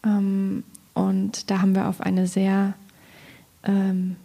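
A woman from Thuringia in Germany speaking as a poet in a literary discussion, thoughtful and halting as she searches for her words. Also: medium pitch, monotone, gravelly, mellow.

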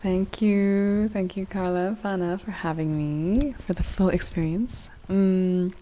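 A young adult woman from South Korea, a yoga teacher, talking as a podcast guest in a casual, hesitant way with many fillers and soft laughs. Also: mid-range voice, unhurried, slight background noise.

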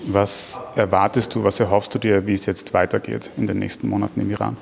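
A man interviews a guest for a news podcast. His voice sits somewhat low, and he puts his questions briskly and matter-of-factly. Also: flat delivery, vocal fry, a little hiss or hum. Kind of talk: podcast interview and scripted intro.

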